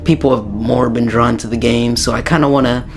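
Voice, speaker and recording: A young man with a slightly raspy, hoarse voice from a sore throat, giving a rambling casual game tutorial with many ums and restarts. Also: mid-range voice, fairly even intonation, fairly quick, faint music behind. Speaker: gaming YouTuber.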